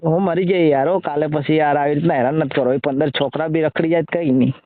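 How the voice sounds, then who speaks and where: Man, slightly high voice, scolding and fed up, casual phone argument, thin narrow-band line. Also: fairly even intonation, fast, no echo. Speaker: social media video creator taking a call. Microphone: phone call.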